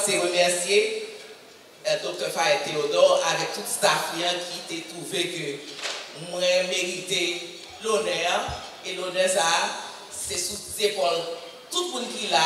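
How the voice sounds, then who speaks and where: A man giving an earnest, halting acceptance speech after receiving an award. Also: high voice, fairly bright tone. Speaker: award honoree. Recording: echoey hall, far off, faint noise.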